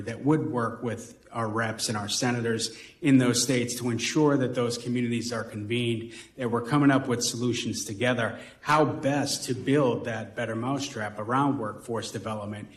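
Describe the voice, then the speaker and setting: A man with a Boston accent answering as a panelist in a roundtable discussion, talking things through with frequent "right?" tags. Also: medium pitch. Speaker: panelist on workforce. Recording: roomy echo.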